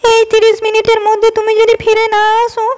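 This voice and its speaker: A woman voicing a kind, wise fairy queen in a storybook cartoon, speaking in a gentle, teaching tone. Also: extremely high pitch, monotone, a little fast. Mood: instructing.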